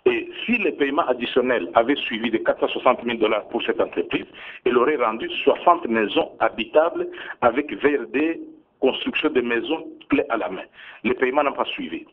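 Husky, insistent Congolese man, phone-like sound. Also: medium pitch, expressive, a little fast. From Bunia, DR Congo. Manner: insistent, emphatic, repeats points. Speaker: government minister being interviewed. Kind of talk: defensive radio interview answers. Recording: tinny phone-like radio sound.

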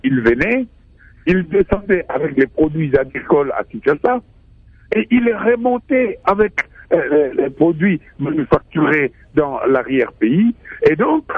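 A professor speaking as a guest on a radio show, emphatic and stammering at the start of points. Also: male voice, a little high, husky, no echo. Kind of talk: radio interview. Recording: thin phone-like line.

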